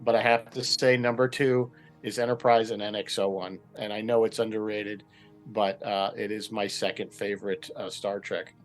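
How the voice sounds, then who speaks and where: A man in his fifties from southern Connecticut, reminiscing casually as a panel guest in a halting, reflective way. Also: on the low side, little pitch movement, soft background music. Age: 50s.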